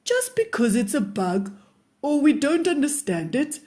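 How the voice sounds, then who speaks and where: Woman reading as a gentle grandfather, slow and lively. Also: sits high. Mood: patient, explaining. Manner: gentle, explaining. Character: patient, kindly grandfather who loves garden bugs.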